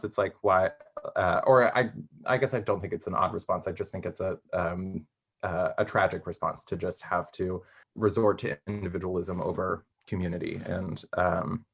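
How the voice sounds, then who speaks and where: Low, husky young man thinking aloud. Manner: halting, thinking aloud, self-correcting. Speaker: playwright being interviewed. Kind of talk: casual interview chat.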